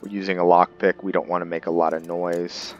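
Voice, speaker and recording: A man's voice: sits low, flat delivery, brisk, dull audio, faint music behind. A man hosting a gaming roleplay video, narrating his playthrough in a casual commentary style.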